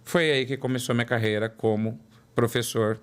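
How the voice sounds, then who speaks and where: Interview guest: paulistano man, forties, mid-pitched voice, lively anecdotes. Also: fairly quick, smooth. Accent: São Paulo Portuguese. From São Paulo, Brazil. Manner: storytelling, repeats words for emphasis. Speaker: guest, product development director. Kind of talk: interview conversation.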